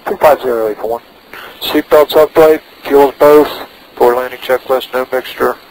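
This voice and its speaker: A man's voice: mid-range voice, fairly even intonation, moderate pace, darkish tone. A man working as a pilot, making radio calls and talking himself through checks in short phrases over a headset in a small plane. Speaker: private pilot. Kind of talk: radio calls and cockpit self-briefing. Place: inside a small propeller plane in flight. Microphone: aviation headset. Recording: noisy cockpit intercom with engine drone.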